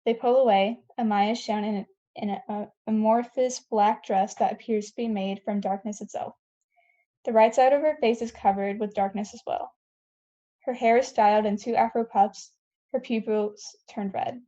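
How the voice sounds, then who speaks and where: Woman narrating a script at a table read, soft mellow tone, kinda dull lo-fi sound. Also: medium pitch, steady tone, normal speed. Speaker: narrator reading stage directions.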